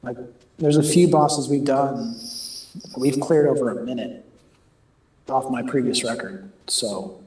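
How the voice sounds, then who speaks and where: A man with a raspy voice from a cold, chatting loosely and laughing during a game raid. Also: medium pitch, a little slow, long pauses, darkish tone, echoey room, rough recording. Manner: chatty, rambling, laughs often. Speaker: raid member on voice chat. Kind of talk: casual gaming voice chat.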